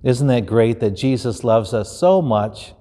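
A man in his fifties with an American accent, a pastor reading a children's story aloud in an expressive, deliberate way. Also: medium pitch. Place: large indoor church stage. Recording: roomy hall with long reverb.

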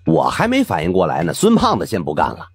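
A man narrating an audiobook in a colloquial, wry and expressive first-person storytelling style. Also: low-pitched, fast, vocal fry, husky, dry recording, soft background music.

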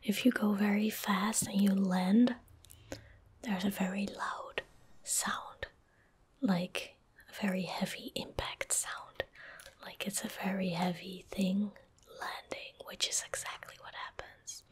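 Whispering young woman, slow ASMR commentary. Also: mid-range voice. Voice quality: breathy, whispered. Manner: calm, gentle, unhurried. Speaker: streamer narrating her gameplay. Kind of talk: soft-spoken ASMR game commentary. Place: indoor room at a gaming desk. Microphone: close desk microphone.

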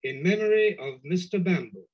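A man's voice: sits high, lively intonation, brisk, slightly rough. A man announcing a radio play in a formal 1960s broadcast style. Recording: dull old 1960s radio recording.

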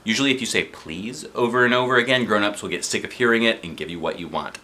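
A man reading a children's book aloud in an expressive, playful way, with chatty asides. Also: on the low side, fast talker. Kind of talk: animated storybook read-aloud with chatty asides. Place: living room sofa, indoors. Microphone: camera a short distance away.

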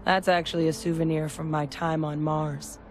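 A woman playing a candid, measured military veteran in a video game, speaking deliberately. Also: low voice, level tone, soft background music, natural voice. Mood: reflective. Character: measured, candid female soldier-scientist, war veteran.

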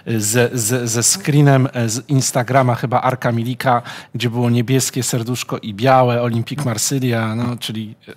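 A man's voice: medium pitch, level tone, normal speed. A man hosting a football talk show, moderating and posing questions, in a TV studio. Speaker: host.

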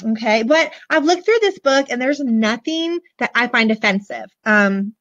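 A hoarse woman in her forties, chatting bubbly and gushing to viewers as she shows a children's book on a webcam. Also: a little high, expressive, moderate pace, a bit bright. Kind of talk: casual live book show-and-tell. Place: small room indoors, decorated backdrop. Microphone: webcam at desk. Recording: dull lo-fi webcam audio.

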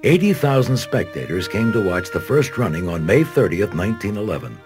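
A man with an American accent delivers scripted documentary narration over music. His low voice moves expressively up and down, with a measured sense of drama. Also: very animated.

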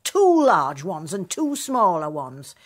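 An actress playing a deferential older cook, talking slowly in a radio play. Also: female voice, on the low side, very animated, exaggerated voice. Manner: deferential, chatty, slow. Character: older female country cook, respectful.

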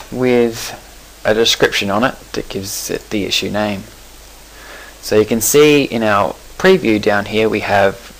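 Man, lowish voice, screencast tutorial narration, relaxed, explanatory, some fillers. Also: gravelly, a little hiss or hum, poor audio. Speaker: software tutorial presenter. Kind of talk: screencast software walkthrough.

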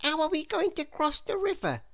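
A woman voicing an excitable, easily frightened young schoolboy in a storybook, with an exclaiming, gasping delivery. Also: high voice, normal speed, creaky, voice pushed up, heavily acted. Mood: worried.

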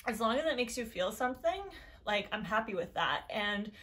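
A young woman with a low voice talks straight to her camera in a cluttered, colorful room. Her tone is earnest and encouraging, and her thoughts wander as she goes. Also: animated, moderate pace, a bit bright. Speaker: YouTube creator. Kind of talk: casual talk to camera. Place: decorated bedroom indoors.

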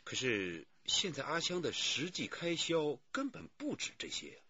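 An actor playing a watchful police detective, questioning in a measured, coaxing way in a radio crime drama. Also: male voice, a little high, wildly swooping pitch, sharp tone, dull audio, a little hiss or hum, heavily acted. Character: calm, watchful police detective interviewing a witness.

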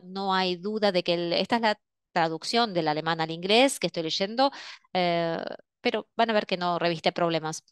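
A woman interpreting an academic lecture, reading a translation aloud in a measured way. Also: medium pitch, clear, resonant tone, no room sound, studio quality.

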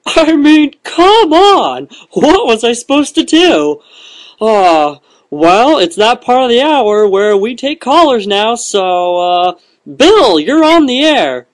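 A man's voice: very high voice, sing-song, slow pace, full character voice. A man playing a flippant, clueless young radio host on a comedy call-in show, casual and bumbling.

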